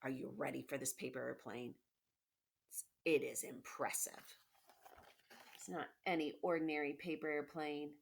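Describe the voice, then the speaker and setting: A woman teacher reading aloud to children, expressively and encouragingly, pausing to explain and ask questions. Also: low-pitched, flat delivery, creaky, breathy edge. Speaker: elementary school teacher. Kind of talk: children's read-aloud with questions and asides to pupils. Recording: noticeable background noise, clicks.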